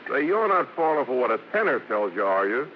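A man's voice: mid-range voice, very animated, fairly quick, lightly acted. An American man playing a cocky, slangy, teasing vaudeville star in old radio drama style. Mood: guarded, jealous. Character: brash, cocky, wisecracking male vaudeville hoofer and star. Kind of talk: 1940s-50s American radio drama acting. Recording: noisy, muffled old radio broadcast.

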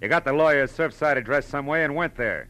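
An actor with an American accent playing a shrewd, clipped, wry private detective in 1940s radio style. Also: male voice, fairly high pitch, quick pace, bright, forceful tone, slight background noise. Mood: accusing. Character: hard-boiled male private detective, brisk, shrewd and sure. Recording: old radio drama recording, music behind.

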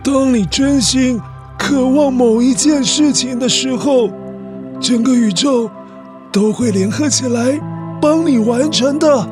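A man voicing a wise old person who gives advice in an earnest, deliberate way, for a children's story. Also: very high-pitched, over music, pitched above the performer's own voice. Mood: solemn, earnest.

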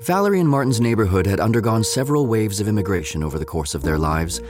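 A man narrates an audio drama in a fairly low voice over prominent music. His delivery is measured and literary, like a storybook read for adults.

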